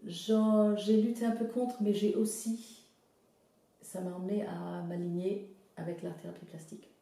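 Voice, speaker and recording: A woman from Zimbabwe whose first language is English, an art therapist speaking hesitantly in a casual interview, often pausing to search for words. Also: mid-range voice, little pitch movement, gravelly, warm tone, very echoey. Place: studio workshop.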